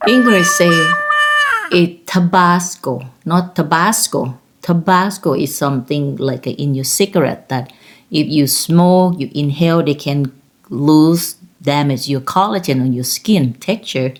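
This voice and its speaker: A woman's voice: low-pitched, a little slow. A woman in her fifties from Thailand with a Thai accent, telling personal stories in a rambling, laughing way. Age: 50s. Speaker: vlogger. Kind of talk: casual personal storytelling.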